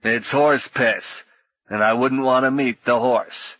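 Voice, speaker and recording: A man's voice: medium pitch, steady tone, slow pace, a bit bright, dry recording, muffled recording. A man voicing a blunt, ill-tempered male mercenary swordsman who speaks tersely. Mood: gruff.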